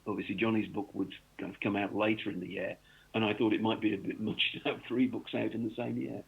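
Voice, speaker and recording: Raspy low-voiced guy on a phone line, rambling with lots of ums. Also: flat delivery, fast. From North East England. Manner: rambling, full of ums and restarts. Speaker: cricket author, guest. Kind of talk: conversational interview on radio.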